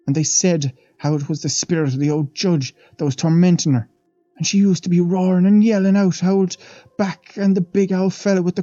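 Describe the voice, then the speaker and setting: A man's voice: high-pitched, mellow, dry recording, voice pushed up, lightly acted. A man reading an audiobook voices a superstitious middle-aged Irish housemaid who chatters with fearful, pious asides, on a dull, dry recording.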